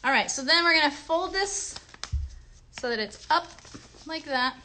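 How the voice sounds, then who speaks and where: A woman's voice: sits high, lively intonation, unhurried, sharp tone, slight background noise. A woman hosting a casual children's livestream, encouraging young viewers and teaching crafts with frequent little check-in questions. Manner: encouraging, addresses children directly with tag questions.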